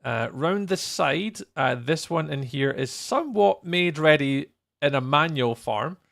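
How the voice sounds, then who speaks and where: Guy streaming a game, super gravelly fry in his voice, rambles and thinks out loud, playful. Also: on the high side, animated, a little slow. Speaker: live streamer, host. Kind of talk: casual gaming livestream chat and commentary.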